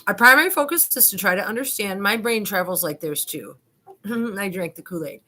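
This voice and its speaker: A woman's voice: medium pitch, animated, normal speed, bright, forceful tone. A woman hosting a video, reading aloud and commenting in a colloquial, digressive, self-deprecating way. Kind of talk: informal dramatic reading with running commentary.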